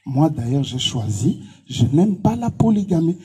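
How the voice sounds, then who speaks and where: A man's voice: fairly high pitch, very animated, normal speed, warm tone, slightly rough. A man with a Congolese accent, a pastor answering interview questions in short, emphatic, repeated declarations.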